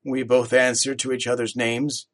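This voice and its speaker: A man reading a story aloud, voicing a heavy-set, jovial older businessman who speaks low and deep. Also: flat delivery, normal speed, fairly bright tone, lightly acted. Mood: amused.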